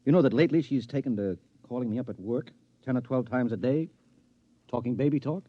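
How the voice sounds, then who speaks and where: An actor playing a curious, chummy henpecked husband with a halting, fussy manner in a 1950s radio drama. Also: male voice, medium pitch, no echo, lightly acted. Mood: wheedling. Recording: dull old 1950s radio recording.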